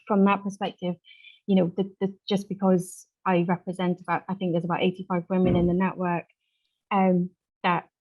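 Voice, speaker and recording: A woman speaking off the cuff as a support worker in a group discussion, earnest and halting as she pieces her sentences together. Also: on the low side, narrow, tinny audio. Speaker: support worker, survivor engagement officer. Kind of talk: unscripted contribution to a group discussion.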